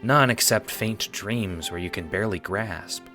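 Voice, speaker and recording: A man's voice: on the low side, a little slow, bright, forceful tone, soft background music. A man reading scripted second-person narration for an audio drama.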